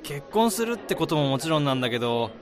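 A man's voice: fairly high pitch, very animated, fast. A man acting in a radio drama as an easygoing married friend of a groom, around thirty, casual and bantering. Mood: reflective, sheepish. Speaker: lead actor and narrator. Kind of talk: radio drama acting with first-person narration. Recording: busy crowd noise behind the voice.

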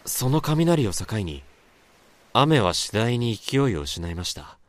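A man's voice: lowish voice, sing-song, slow pace. A man acting as the haunted narrator of a radio horror drama, recounting an eerie dream in a dramatic monologue. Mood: dazed, quiet. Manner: dramatic, swelling from hushed to intense. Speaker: narrator and main character. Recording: storm and rain noise behind.

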